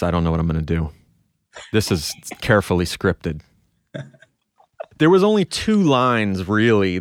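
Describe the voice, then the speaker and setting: A man's voice: low-pitched, smooth, dry recording, clean studio sound. A man hosting a casual podcast chat, organizing his co-hosts in a chatty way. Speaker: podcast host.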